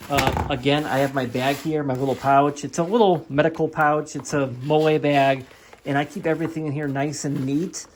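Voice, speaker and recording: A man with an American accent and a slightly high voice talks through gear in a casual, chatty way. He addresses viewers informally, like a beginner-friendly review host. There is some faint noise behind him. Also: steady tone, normal speed. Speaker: host of a product review video.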